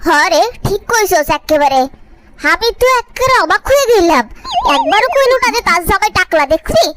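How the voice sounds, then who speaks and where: A woman's voice: very high-pitched, big pitch swings, moderate pace, noisy background. A woman voicing an envious, gossipy young friend in a cartoon, with catty, colloquial talk. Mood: gloating, astonished. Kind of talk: cartoon voice acting.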